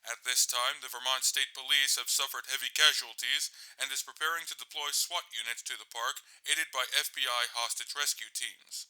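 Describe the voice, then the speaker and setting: Bright, crisp male news anchor, acted by a man. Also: medium pitch, fairly even intonation, normal speed, hardly any pauses, rough recording. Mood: grave. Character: urgent male radio news anchor covering a disaster. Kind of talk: scripted breaking news narration.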